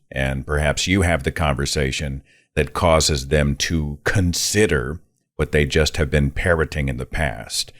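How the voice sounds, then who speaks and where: A man's voice: rumbling low, no room sound, studio quality. A man hosting an interview, asking questions in a conversational, opinionated way. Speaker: podcast host.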